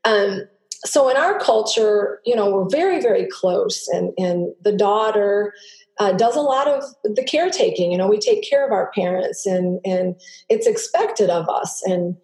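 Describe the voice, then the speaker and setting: A middle-aged woman, a native Greek speaker, telling her personal story as a podcast guest in an earnest, halting way with many restarts. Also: medium pitch, expressive, a little mellow. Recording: roomy echo.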